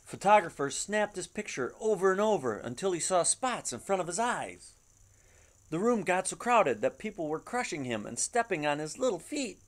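A middle-aged man reading a children's picture book aloud at home in an expressive, dramatic storytelling manner. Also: sits high, moderate pace. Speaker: storybook reader. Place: living room at home. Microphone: webcam at about arm's length.

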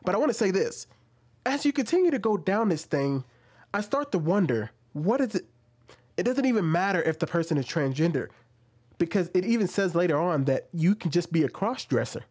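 A man in his thirties hosting casual commentary at home into a studio mic, talking with animated, questioning emphasis. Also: high-pitched, brisk, breathy edge. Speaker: commentary host. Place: small room at home, indoors. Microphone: studio mic on an arm, wearing headphones.

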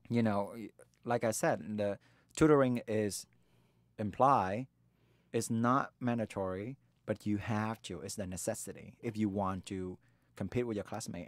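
Young man, 20s, Vietnamese accent, lowish voice, earnest, halting restarts, dry close sound. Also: no room sound. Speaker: interviewee. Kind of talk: personal storytelling conversation.

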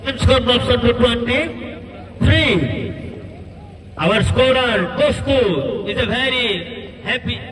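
High-pitched excitable cricket commentator, distant. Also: male voice, slow, pauses often. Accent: Assamese. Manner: excitable, bursts into shouts on big hits. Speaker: match commentator. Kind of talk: live cricket commentary over loudspeaker. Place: outdoor floodlit cricket ground at night. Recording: distant loudspeaker voice over crowd noise. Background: large crowd.

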